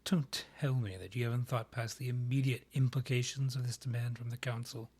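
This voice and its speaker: A man playing a haughty vampire monarch, a proud rival and ex-lover, with formal, measured, archly condescending phrasing in a romantic roleplay audio drama. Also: mid-range voice, steady tone, darkish tone, slightly rough. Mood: persuasive, composed.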